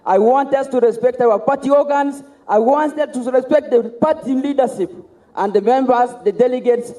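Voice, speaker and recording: This is a man asking party delegates for their vote. He leans on repeated slogans. His voice is very high for a man, yet mellow and dark in tone. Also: moderate pace. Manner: repetitive rallying slogans. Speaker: candidate for party office. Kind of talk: campaign speech at a party conference.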